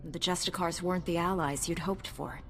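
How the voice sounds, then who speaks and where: A noble knight, echoing reverb. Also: female voice, on the low side, steady tone, fairly bright tone. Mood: composed, resolute. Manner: formal, measured, resolute. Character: noble, composed female Jedi knight, steadfast and compassionate. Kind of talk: video game voice acting. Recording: long reverb, clear background noise.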